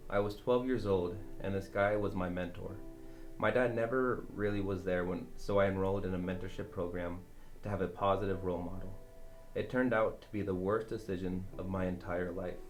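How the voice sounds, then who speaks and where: A man's voice: low voice, steady tone, normal speed, faint music behind. A young adult man, a student, reading a personal account aloud. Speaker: student reader.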